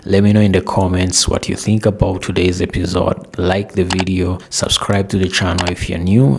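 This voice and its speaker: Man, deep voice, commentary host, emphatic, direct address to viewers. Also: creaky, husky. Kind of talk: spoken news commentary to viewers.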